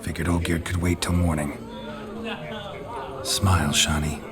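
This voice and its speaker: A gruff, deep monster hunter. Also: male voice, level tone, unhurried, over a noisy crowd. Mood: wry. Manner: terse, dry, laconic. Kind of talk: game cutscene voice acting.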